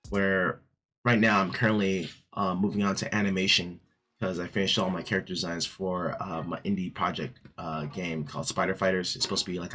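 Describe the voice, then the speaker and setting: A man reviewing an art book in a casual vlog, his voice raspy from just waking up, rambling with many fillers and restarts. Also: low voice. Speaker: art YouTuber. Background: soft background music.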